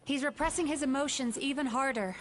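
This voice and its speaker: Game role: woman playing composed, caring young female dream healer, resolute, higher-register voice. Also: a little fast, a little hiss or hum, close to an everyday voice. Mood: grave. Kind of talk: video game voice acting. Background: background music.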